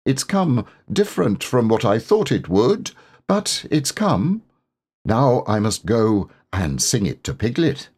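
A man voicing a gentle, musing storybook bear who speaks earnestly and hesitantly, as if composing verse. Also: mid-range voice, big pitch swings, takes it slowly, slightly rough, heavily acted. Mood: satisfied, mild. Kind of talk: children's storybook character reading.

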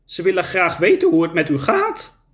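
A man's voice: high-pitched, wildly swooping pitch, moderate pace, voice pushed up. A man reading a storybook and voicing a small, nimble, eager young male climber.

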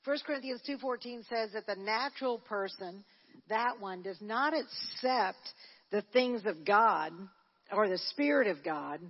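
A woman's voice: fairly high pitch, lively intonation, a little slow, slightly rough, big-room sound, lo-fi sound. A woman minister delivering a Pentecostal sermon at a prayer service, conversational and full of restarts, then building into fervent preaching. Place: church sanctuary.

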